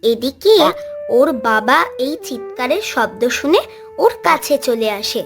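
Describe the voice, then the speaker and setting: Female cartoon narrator: scripted storybook delivery, high voice, expressive swings, music bed. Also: measured pace. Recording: music behind the voice.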